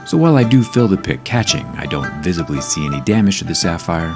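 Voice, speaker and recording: Deep-voiced man narrating a tech review. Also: very animated, quick pace. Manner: upbeat, explanatory, product-testing tone. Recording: narration with clear background music. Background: background music.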